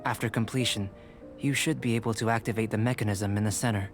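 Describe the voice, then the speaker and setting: An actor voicing a calm, curious young alchemist researcher in a video game, speaking in a precise, formal, measured way. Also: male voice, lowish voice, lightly acted. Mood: composed. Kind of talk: scripted video game dialogue. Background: game music.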